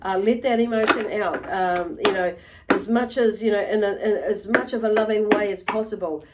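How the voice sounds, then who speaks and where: A woman giving an unscripted tarot reading to viewers, halting and meandering, often repeating and rephrasing herself as she goes. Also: medium pitch, steady tone, heavy background noise. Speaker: tarot reader and channel host.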